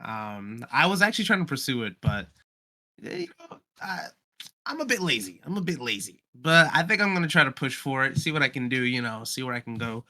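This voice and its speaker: Bright, forceful young man chatting casually. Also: medium pitch, big pitch swings, a little fast. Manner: slangy, enthusiastic. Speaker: podcast guest, amateur voice actor. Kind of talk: casual chat.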